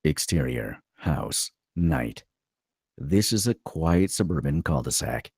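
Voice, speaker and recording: A man narrating a screenplay at a table read, with a gravelly, husky voice. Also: very deep voice, expressive, slow pace, a little mellow, dry recording, studio quality.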